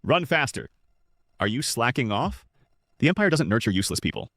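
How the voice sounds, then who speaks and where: A demanding male military instructor in a dubbed anime, voiced by a man. His mid-pitched voice is authoritative and moves about a lot, recorded very dry. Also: very animated, brisk, fairly bright tone, dry recording. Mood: harsh. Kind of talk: English-dubbed anime drama.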